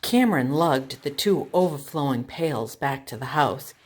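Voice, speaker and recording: A woman reading a children's picture book aloud as a storytime teacher, with deliberate, expressive phrasing for young listeners. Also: low voice.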